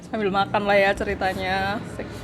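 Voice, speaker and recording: A woman telling a story in casual chat, animated and laughing often. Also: medium pitch, brisk. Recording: noisy background.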